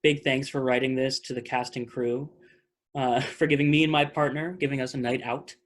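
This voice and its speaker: A man's voice: mid-range voice, level tone, moderate pace. Man casually hosting an online talkback. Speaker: theater director hosting a post-show talkback. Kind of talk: casual question-and-answer hosting. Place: online video call.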